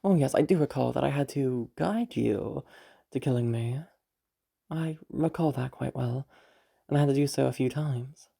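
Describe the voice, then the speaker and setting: Low, husky, sly villainess, acted by a woman. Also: exaggerated voice. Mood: hesitant, restrained. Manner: teasing, slow, hesitant pauses with soft hums and sighs. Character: sly, teasing villainous woman, a beast, menacing yet tender. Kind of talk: romantic audio roleplay, intimate monologue to a listener.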